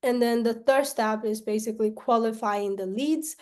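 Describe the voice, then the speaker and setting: Woman, from Myanmar, salesperson, sales pitch on a call, slightly higher voice, lots of tag questions. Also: normal speed, a little mellow. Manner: pitch broken up by frequent tag questions and restarts.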